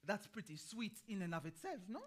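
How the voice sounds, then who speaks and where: An actress with an American accent playing a chatty, nosy older gardener in a staged play reading, meandering and prodding. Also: female voice, mid-range voice, big pitch swings, brisk. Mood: wise, reflective. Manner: folksy, meandering, prodding with questioning hums.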